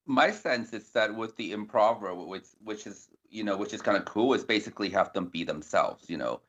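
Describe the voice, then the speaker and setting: A man's voice: a little fast, slightly rough, muffled recording. A man from Hong Kong, speaking English with a Hong Kong accent tinged with British, talking animatedly as a filmmaker guest on a podcast. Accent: Hong Kong English with a slight British tinge. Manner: animated, acts out quoted exchanges with sharp pitch jumps. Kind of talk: podcast interview.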